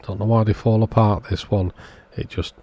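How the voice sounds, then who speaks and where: A man's voice: on the low side, level tone, normal speed, dark tone, slightly rough. A man giving an informal, matter-of-fact voice-over, explaining a hands-on repair step by step as the host. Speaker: host of a radio repair channel. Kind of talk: informal scripted-sounding voice-over narration of a repair.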